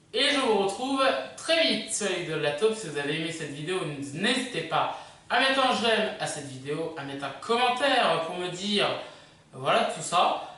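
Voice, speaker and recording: Animated high-voiced man in an echoey room. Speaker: video channel host. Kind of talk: casual countdown presentation to viewers. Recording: echoey room, distant microphone.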